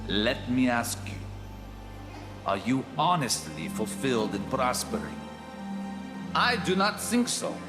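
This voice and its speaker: A man's voice: medium pitch, very animated, slow pace, long pauses. A man playing a fiery revolutionary demagogue, preaching propaganda in an impassioned, declamatory way. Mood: accusing, fervent. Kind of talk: theatrical propaganda speech. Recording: long hall reverb, loud music.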